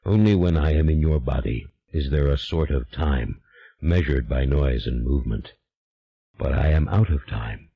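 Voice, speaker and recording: A man voicing an invisible ancient male demon, speaking slowly in a hushed, ominous way. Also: very deep voice, creaky, slightly rough, muffled recording, pitched below the performer's own voice, exaggerated voice.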